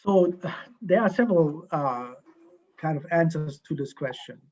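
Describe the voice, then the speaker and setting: A man with a German accent answers questions over a video call, choosing his words slowly and filling pauses with ums and uhs. His voice sits a little high. The call audio is dull and muffled. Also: expressive, darkish tone. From East Germany. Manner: halting, many ums and uhs, restarts. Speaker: guest historian.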